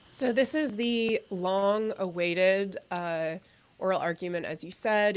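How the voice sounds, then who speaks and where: A young woman with a hoarse voice from a cold, giving a thoughtful legal analysis on a live stream, with many pauses and fillers. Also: on the low side. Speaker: legal commentator.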